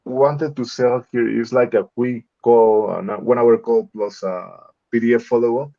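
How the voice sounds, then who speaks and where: A man's voice: medium pitch, fairly even intonation, measured pace, gravelly, dark tone. Man on a slightly muffled group call. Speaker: freelancer in a coaching group. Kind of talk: informal screen-share update to a group. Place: online video group call. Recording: slightly dull video call audio.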